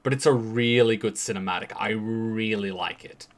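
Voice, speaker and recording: A man's voice: on the low side, normal speed. A man giving casual, chatty commentary while reacting to game cinematics, now and then restarting his sentences.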